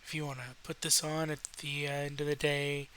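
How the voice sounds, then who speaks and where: A man with a slightly rough voice, rambling in a relaxed, hesitant late-night style with frequent pauses, as a video host. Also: on the high side. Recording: noticeable faint background hiss.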